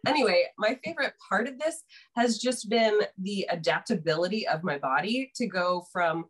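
A woman's voice: medium pitch, animated, moderate pace, slightly rough, poor audio. Woman in her thirties, guest, playful and wry, talkative, video call from home. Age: 30s. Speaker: podcast guest. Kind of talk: casual group video call chat.

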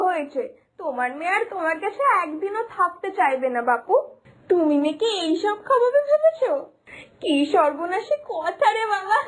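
A woman playing a bossy, scolding village neighbour in a cartoon, nagging and know-it-all. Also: very high-pitched. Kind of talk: cartoon story acting.

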